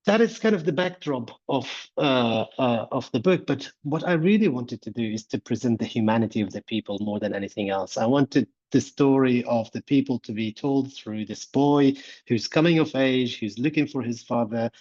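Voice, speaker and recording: Earnest author, dry lo-fi sound. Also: male voice, medium pitch, animated, normal speed, a little mellow, no room sound. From Gaza, Palestine. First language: Arabic. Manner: earnest, explanatory, repeats words while searching for phrasing. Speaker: author being interviewed. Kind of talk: conversational interview answer. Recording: dull, dry lo-fi recording.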